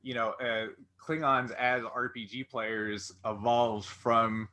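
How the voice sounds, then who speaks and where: Male podcast host: bright, forward voice at average pitch, conversational, meandering as he works out a question. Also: little pitch movement, slow. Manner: rambling, thinks aloud in long questions. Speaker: podcast interviewer, game master. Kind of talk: casual conversational interview.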